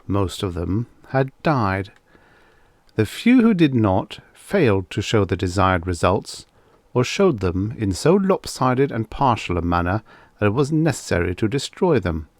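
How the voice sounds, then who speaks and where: Low-voiced man reading an audiobook. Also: very animated, measured pace, no room sound. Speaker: audiobook narrator. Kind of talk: scripted audiobook narration of vintage science fiction.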